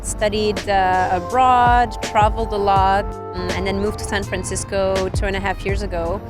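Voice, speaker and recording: Woman over soft music. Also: medium pitch, level tone, moderate pace. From Switzerland. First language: French. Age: 30s. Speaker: interviewee, tech reporter. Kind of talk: reflective documentary interview. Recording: music playing underneath.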